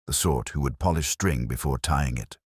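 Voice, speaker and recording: A man's voice: a bass voice, moderate pace, a little mellow, breathy edge, no echo. A man voicing a prim, haughty antique dealer with dry wit and clipped indignation in a mystery story. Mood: dry, wry.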